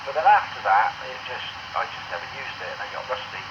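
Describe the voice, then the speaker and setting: An English man chats casually over amateur radio. He rambles in a self-deprecating way. The transmission is muffled, with clear noise around the voice. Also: medium pitch, very animated, fast. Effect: two-way radio transmission.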